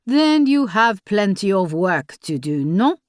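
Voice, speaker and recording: A man's voice: high-pitched, big pitch swings, takes it slowly, no echo, clean studio sound, full character voice. A woman voicing a brusque, dismissive middle-aged French police commissioner who talks in a French accent and cuts others off. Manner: brusque, interrupting.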